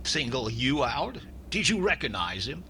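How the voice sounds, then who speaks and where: An actor playing an inquisitive police inspector, businesslike and probing, in old-time radio comedy style. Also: male voice, mid-range voice, sing-song, measured pace, bright, forceful tone, exaggerated voice. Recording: very noisy recording with clicks.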